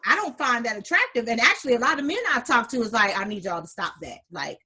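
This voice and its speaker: A sassy, animated woman with a husky, breathy voice talks in a relaxed, chatty way, sitting somewhat high for a woman. She calls her listeners honey and leans into her points with flair. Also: quick pace. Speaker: podcast co-host. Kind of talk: casual chat.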